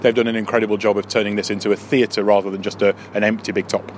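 A man's voice: on the low side, level tone, fast. A man giving an informal, explanatory interview on location as an official, repeating words as he goes. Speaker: council head of leisure, interview guest. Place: inside a big top tent. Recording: faint on-location background noise.

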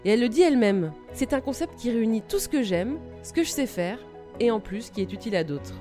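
A woman's voice: on the high side, lively intonation, brisk. A woman hosting a podcast, reading an introduction and putting questions to a guest. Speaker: podcast host, interviewer. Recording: music behind the voice.

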